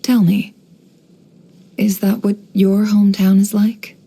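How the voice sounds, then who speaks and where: A woman voicing a cool, shrewd, guarded young woman in a video game, composed and wry, with measured, knowing delivery. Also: mid-range voice, level tone, gravelly, dark tone, breathy edge, exaggerated voice. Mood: wistful, resigned. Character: cool, shrewd, guarded young desert-born woman running an information business. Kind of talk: scripted game character voice lines.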